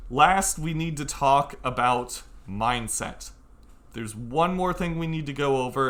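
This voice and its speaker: A young adult man speaks at a medium pitch as he hosts a tutorial. His delivery is conversational and frank, leaning hard on key words to make his advice clear. He sounds like someone talking straight to viewers from his own room. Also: fairly bright tone. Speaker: tutorial host. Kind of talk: informal tutorial talk to viewers.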